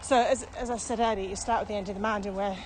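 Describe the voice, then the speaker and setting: A woman's voice: medium pitch, fairly even intonation, fast. Middle aged woman chatting outside on a walk, explains stuff step by step, bit of background noise. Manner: explains step by step, emphatic, conversational. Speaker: podcast co-host and experienced trainer. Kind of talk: casual explanatory chat. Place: outdoors, walking. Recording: outdoor walking recording, clear background noise.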